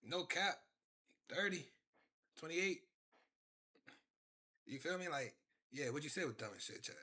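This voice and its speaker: A man's voice: a little high, expressive, a little slow, sharp tone. A man in his twenties chatting casually on a live stream, rambling and repeating himself as he talks to his chat from his room. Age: 20s.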